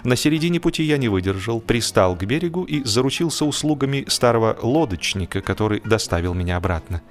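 A man narrating a humorous literary story in an expressive, theatrical way, with deliberate drawn-out pauses. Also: mid-range voice. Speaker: audiobook narrator. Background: music.

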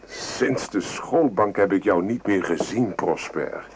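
An actor playing an earnest, humble French volunteer soldier in a radio play, deferential and a little disillusioned. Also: male voice, sits low, animated, slow pace, noisy background, full character voice. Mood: wistful, friendly.

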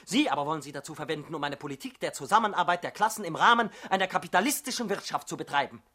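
Young male revolutionary intellectual, high-pitched, bright tense voice, earnest, indignant, uncompromising, radio drama. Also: expressive, racing. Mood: accusing, earnest. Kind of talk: theatrical radio drama acting.

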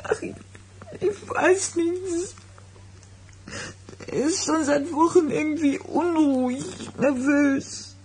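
An actress playing an anxious, hurt pregnant wife in a German radio drama. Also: female voice, high voice, takes it slowly, long pauses, darkish tone, a little hiss or hum, poor audio. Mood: troubled, hesitant.

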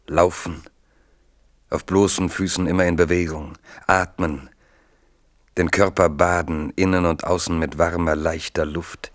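A male narrator with a very deep voice reads a literary radio drama slowly and deliberately. His voice sounds dry and close, with no room echo. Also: little pitch movement. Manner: measured, deliberate.

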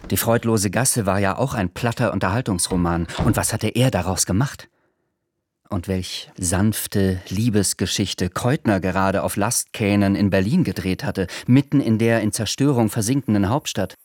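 A man narrating a literary radio drama in a measured, calm way. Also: lowish voice, no echo, clean studio sound.

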